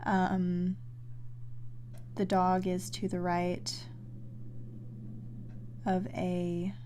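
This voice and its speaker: A woman giving casual live game commentary, musing and halting as she thinks aloud and corrects herself. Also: fairly low pitch, monotone, darkish tone, slight background noise. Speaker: game streamer playing a puzzle adventure.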